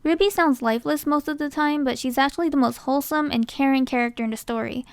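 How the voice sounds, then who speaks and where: A woman's voice: sits high, fast talker, creaky, dry recording. A woman hosting a casting call with scripted narration.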